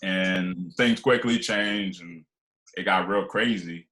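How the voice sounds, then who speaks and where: A man's voice: low-pitched, takes it slowly, bright, forceful tone. A man in his twenties, originally from Liberia, telling his life story as a podcast guest in a loose, rambling way full of fillers and restarts. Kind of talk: casual conversational storytelling.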